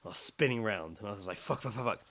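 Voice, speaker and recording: A British man co-hosting a podcast, telling a story in casual chat with sweary, excitable delivery and acting out his own reactions. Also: on the low side, quick pace. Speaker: podcast co-host.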